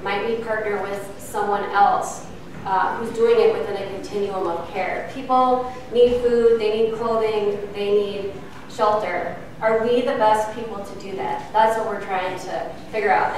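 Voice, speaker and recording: A middle-aged woman leading outreach ministry, answering questions at a church forum in an earnest, explaining way with frequent fillers. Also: medium pitch. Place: church meeting room. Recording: echoey room, distant mic, faint noise.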